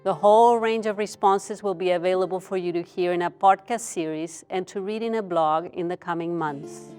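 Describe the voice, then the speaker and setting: A woman's voice: on the low side, moderate pace, a little mellow, smooth, faint music behind. A woman giving a prepared spoken introduction as a theater's artistic director.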